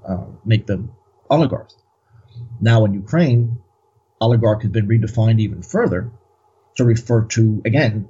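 A man's voice: fairly low pitch, warm tone, husky, highs cut off. A man as a guest commentator, talking in a rambling, hesitant way with many fillers. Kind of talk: casual political discussion.

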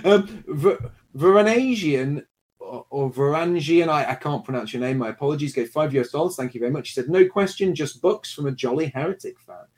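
A man hosting a livestream, briskly reading out donor messages and questions. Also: fairly high pitch, animated, darkish tone. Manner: brisk, reads messages aloud with small laughs. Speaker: livestream host reading donations. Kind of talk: casual livestream chat.

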